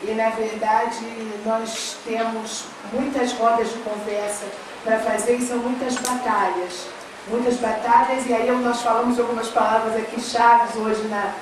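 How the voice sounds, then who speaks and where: A woman's voice: fairly high pitch, level tone, measured pace, far from the mic, noisy background. Carioca woman speaking in an echoey hall. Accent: Carioca Portuguese, mixed with São Paulo. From Rio de Janeiro, Brazil. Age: middle-aged. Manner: earnest, many fillers, warms to emphatic. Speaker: education official speaking on a panel. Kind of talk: public forum speech. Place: large hall at a public forum.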